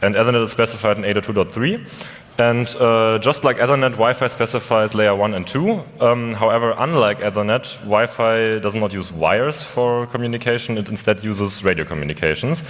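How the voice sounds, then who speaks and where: A man's voice: lowish voice, a little fast, a bit bright. A man from Germany with a German accent gives an explanatory technical presentation at a conference. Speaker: conference speaker, software developer. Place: large conference hall. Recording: echoey hall with long reverb.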